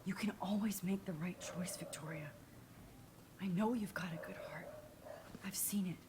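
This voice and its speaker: A woman playing an earnest, quietly brave teenage girl in a video game, speaking softly and sincerely with a hushed delivery. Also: fairly low pitch, moderate pace, noisy background, lightly acted. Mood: earnest, gentle. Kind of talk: video game voice acting, soft introspective delivery.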